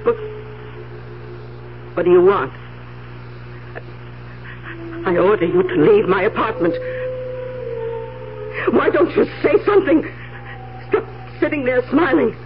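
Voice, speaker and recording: An actress playing an anxious, indignant elderly aunt in a radio drama, halting and repeating her words as she goes. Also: medium pitch, lively intonation, over music, natural voice. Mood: frightened, indignant. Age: older. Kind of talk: 1970s radio drama acting. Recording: muffled, faintly hissy vintage radio recording.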